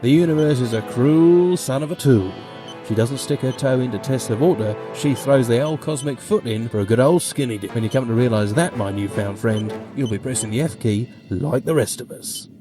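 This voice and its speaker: A man's voice: medium pitch, normal speed, natural voice. A man playing a cocky, sarcastic, grumbling space rogue in a comedy audio drama, boastful and sardonic. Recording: voice under loud music and effects.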